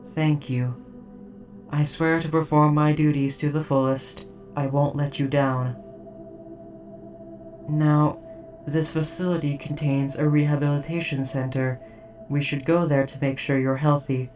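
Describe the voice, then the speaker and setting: Voice actor doing a caring robot soldier, audio drama, formal n precise, medium pitch, bit far off w music behind. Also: flat delivery, close to an everyday voice. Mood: gentle, dutiful. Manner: formal, precise, measured, softly hesitant. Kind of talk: scripted roleplay audio drama.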